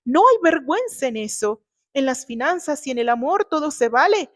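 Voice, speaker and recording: Woman acting brash, spiteful young man, high-pitched. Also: expressive, normal speed. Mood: defensive. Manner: accusing, stammering when cornered. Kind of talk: dramatized audiobook reading.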